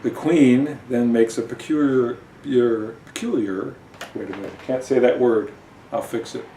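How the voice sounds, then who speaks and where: A man reads a comic story aloud in an informal, wry way. He is slow and halting, heard at a distance from the mic in a roomy space with faint noise. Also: medium pitch, steady tone, mellow. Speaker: author and host reading own story.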